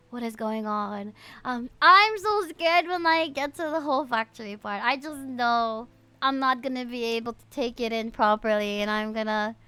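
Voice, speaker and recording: A woman's voice: on the high side, pushed and bright, clean tone, dry recording. A woman chatting casually as a livestream host, bubbly and effusive with her viewers. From Philippines. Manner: bubbly, effusive, often repeats greetings and thanks. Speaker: VTuber game streamer and host. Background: music.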